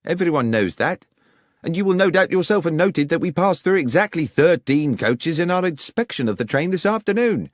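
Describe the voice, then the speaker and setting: Man voicing an insistent Victorian police inspector, animated. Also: on the high side, normal speed, no echo, clean studio sound, pitched above the performer's own voice, natural voice. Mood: insistent, doubting. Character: dutiful, sceptical male Victorian police inspector.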